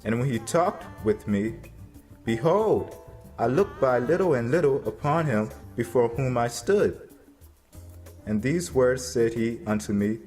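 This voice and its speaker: A man playing a devout, troubled prophet who recounts his visions in a solemn, earnest, measured dramatization of scripture. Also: medium pitch, expressive, darkish tone, natural voice. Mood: solemn recounting. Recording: long reverb, faint music behind. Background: faint music.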